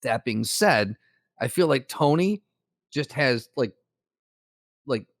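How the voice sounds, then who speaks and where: A man's voice: medium pitch, takes it slowly, dry recording, studio quality. A man co-hosting a podcast, chatting casually, swearing freely and joking as he argues a point. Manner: profane, animated, joking. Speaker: podcast co-host. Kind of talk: casual chat.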